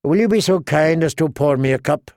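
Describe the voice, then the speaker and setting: A man's voice: fairly high pitch, brisk, darkish tone, no echo, close to an everyday voice. A man voicing a witty, bitter adult dwarf lord who speaks in a dry, mocking way. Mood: weary wry.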